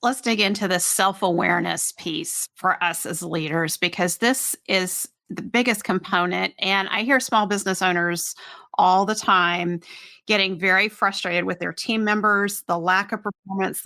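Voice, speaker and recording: A woman's voice: on the low side, fairly even intonation, moderate pace, vocal fry, bright, forceful tone. A woman hosting a podcast, asking curious, probing questions of her guest. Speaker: podcast host. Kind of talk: interviewing a guest.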